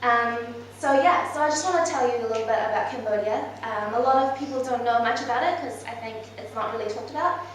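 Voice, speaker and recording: A young woman gives a presentation to her church, her voice sitting a little high. She talks informally with plenty of ums. The recording is heard from a distance across a very echoey hall. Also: fast talker, few pauses, a little hiss or hum. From Malaysia, raised in New Zealand. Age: 20s. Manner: informal, with frequent ums and soft laughs. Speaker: church speaker presenting her mission work. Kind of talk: presentation talk to a church congregation.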